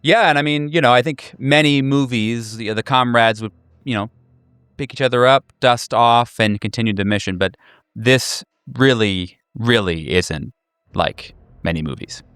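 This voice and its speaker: A man's voice: medium pitch, normal speed, a bit bright, clean tone, no echo, clean studio sound, faint music behind. A man improvising narration as a game master, conversational and hesitant as he finds his words.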